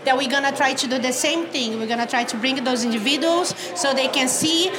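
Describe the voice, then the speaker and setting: A woman's voice: high-pitched, fairly quick, a crowd in the background, poor audio. A woman with a Brazilian accent, a native Portuguese speaker, explaining community programs conversationally as an interview guest. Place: video call.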